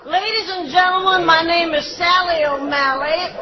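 A woman's voice: very high voice, fairly even intonation, moderate pace. A woman playing a boastful fifty-year-old woman who chants about kicking and stretching, in a dull comedy clip with a crowd behind. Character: brassy fifty-year-old woman showing off high kicks.

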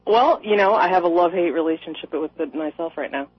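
A woman's voice: lowish voice, quick pace, breathy edge, no echo. A woman from Minnesota calling in to a radio show, rambling and laughing with a sarcastic edge. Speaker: caller. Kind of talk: call-in radio chat. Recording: dry, muffled phone-in audio.

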